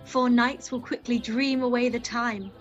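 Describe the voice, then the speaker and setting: A woman's voice: on the high side, fairly even intonation, a little slow, dull audio, soft background music, close to an everyday voice. A woman acting a poised noble bride-to-be in a Shakespearean radio play, lyrical and composed. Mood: serene.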